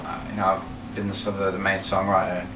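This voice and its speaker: Low, flat-voiced man in a roomy office. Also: noisy background. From Birmingham, England. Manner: steady, measured, many fillers. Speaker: band leader, guitarist and singer. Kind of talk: casual interview chat. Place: band label office. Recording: roomy office, distant mic, music behind.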